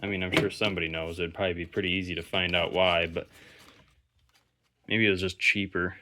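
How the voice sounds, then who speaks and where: A man with a fairly deep voice talks through a hands-on electronics inspection. He is casual and unscripted, thinking aloud and wandering into musing asides as he goes. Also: level tone, fairly quick, pushed and bright, slight background noise. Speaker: host of a vintage electronics inspection video. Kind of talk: casual, unscripted show-and-tell commentary.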